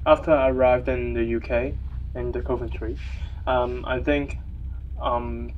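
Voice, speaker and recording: A young man from Hong Kong, an international student answering questions for a documentary interview in a hesitant way, pausing often on fillers. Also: medium pitch, little pitch movement, a little hiss or hum. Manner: hesitant, many fillers, chuckles between phrases. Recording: muffled, mic at some distance.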